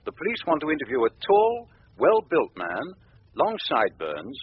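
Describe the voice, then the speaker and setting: Tinny-sounding crime appeal presenter. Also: male voice, a little high, huge pitch swings, moderate pace, husky, heavily acted. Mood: earnest. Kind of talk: scripted broadcast crime appeal. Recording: narrow, tinny broadcast over music. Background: music.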